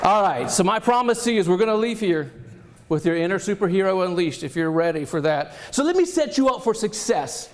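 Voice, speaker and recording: A man with a Southern US accent delivers a motivational keynote with lively energy. His voice sits notably high for a man, bright and bouncing as he jokes with the crowd. He speaks from a conference stage. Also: brisk, a little hiss or hum. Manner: energetic, animated, joking with the audience.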